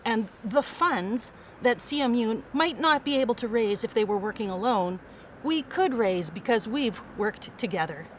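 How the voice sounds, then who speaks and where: A woman's voice: a little high, lively intonation, normal speed, a little hiss or hum. A woman giving a prepared speech that urges listeners to give generously. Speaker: fundraising speaker.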